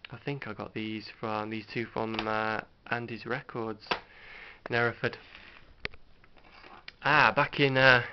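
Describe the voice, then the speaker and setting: A man's voice: mid-range voice, a little hiss or hum. A middle-aged British man talking casually and unscripted in a hesitant, rambling, understated way, like a host showing off a collection. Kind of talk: casual unscripted show-and-tell vlog. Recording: dull lo-fi home recording.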